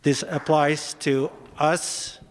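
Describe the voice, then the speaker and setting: Man from ethiopia, mid pitch, polite questioner, echoey hall. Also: little pitch movement. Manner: polite, measured, hesitant fillers. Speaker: audience member asking a question.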